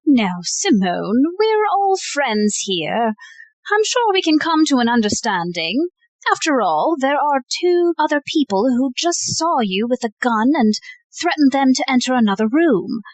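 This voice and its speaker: A woman's voice: high voice, sing-song, a little slow, no room sound, exaggerated voice, rough recording. A woman playing a poised, unflappable society lady in an audio play, genteel and wry. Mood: composed, diplomatic.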